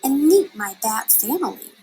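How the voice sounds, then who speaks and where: A woman's voice: high-pitched, lively intonation, moderate pace, mellow, faint music behind, voice pushed up, poor audio. A woman reading a children's storybook, voicing a naive, earnest young girl bat in a wide-eyed, emphatic way. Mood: excited. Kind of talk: children's storybook read-aloud.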